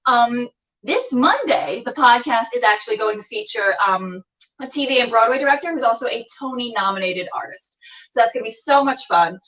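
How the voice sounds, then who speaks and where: A woman's voice: a little high, expressive, fairly quick, fairly bright tone, distant mic, poor audio. A woman hosting a casual livestreamed show, chatting and making announcements in a gushing, effusive way. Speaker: show host.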